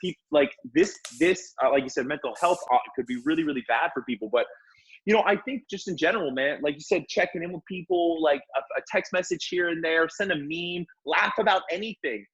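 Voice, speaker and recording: Bro-ish man with a highish voice. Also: a little fast, fairly bright tone, breathy edge. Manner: informal, bro-ish slang. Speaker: podcast guest. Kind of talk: casual panel chat. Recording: slightly noisy live call, clicks.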